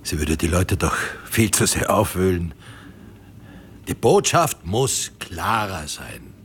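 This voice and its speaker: A low-voiced American colonel in a radio play. He speaks in measured, emphatic phrases with lively swings of tone and a smooth condescension, over some background noise. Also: heavily acted. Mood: patronising, firm. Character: American army colonel and newspaper chief, smoothly patronising. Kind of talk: radio drama dialogue.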